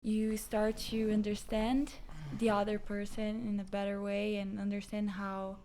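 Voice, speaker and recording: Soft-spoken young woman. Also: medium pitch, completely flat delivery, measured pace, slight background noise. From Brazil. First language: Portuguese. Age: 20s. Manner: soft-spoken, modest, giggly. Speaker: guest, host's partner. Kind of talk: casual relationship chat.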